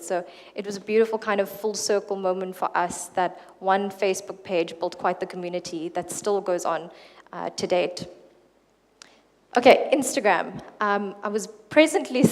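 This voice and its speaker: A woman giving a keynote talk at a conference in a relaxed, conversational way. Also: medium pitch. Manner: relaxed, with fillers and restarts. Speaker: keynote speaker. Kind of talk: conference presentation. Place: conference hall. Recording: reverberant hall.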